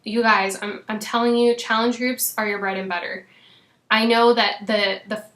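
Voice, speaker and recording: A woman in her thirties, a fitness coach, talking casually and encouragingly to her team. She is losing her voice and often fills pauses with "um" and "you know". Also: fairly high pitch, steady tone, fairly bright tone. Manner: chatty, frequent "um" and "you know". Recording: echoey room.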